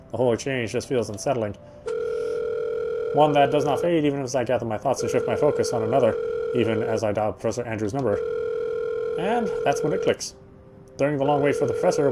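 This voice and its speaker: A man reading a visual novel's narration aloud as he plays, now and then stumbling and rereading phrases. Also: mid-range voice.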